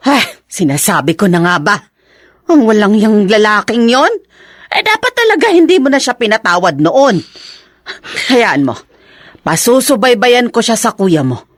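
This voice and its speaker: A woman's voice: on the high side, huge pitch swings, measured pace, pauses often, no room sound, studio quality, full character voice. A woman voicing a protective grandmother who scolds and fusses over her daughter in a radio drama. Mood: indignant. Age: older. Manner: scolding, indignant. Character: protective grandmother, mother of the wife. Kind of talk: Filipino radio drama acting.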